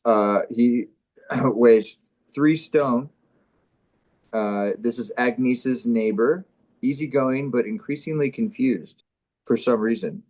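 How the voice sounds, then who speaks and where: Man, deadpan comedy performer, casual banter and script reading, average pitch. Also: slow.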